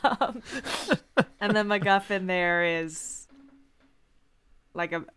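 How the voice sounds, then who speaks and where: A woman's voice: lowish voice, fairly even intonation, takes it slowly, a bit bright, clean tone. A woman chatting casually as a podcast quiz contestant, joking and playfully argumentative. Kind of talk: casual comic chat.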